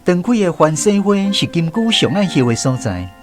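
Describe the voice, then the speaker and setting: A man narrating a radio drama in a measured storytelling way, recalling childhood memories. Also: on the high side, sing-song, soft background music.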